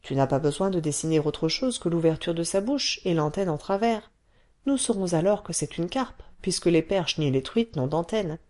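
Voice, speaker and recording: A woman voicing a patient, calm cave father who explains slowly. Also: close to an everyday voice. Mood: explaining. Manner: slow, patient, low-key.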